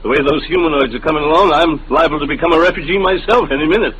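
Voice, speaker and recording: An actor playing a flustered, stubborn small businessman and husband in an old radio drama, stammering and gruff. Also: fairly high pitch, very fast, fairly bright tone, muffled recording, natural voice. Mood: hesitant, affable. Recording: noisy old radio recording.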